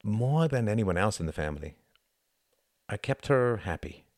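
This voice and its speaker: A charming, sensitive young man from a storybook, voiced by a male narrator. He is easily moved and speaks hesitantly, with expressive, shifting pitch. The sound is dry and close. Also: moderate pace, no room sound. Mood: subdued. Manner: hesitant, emotional.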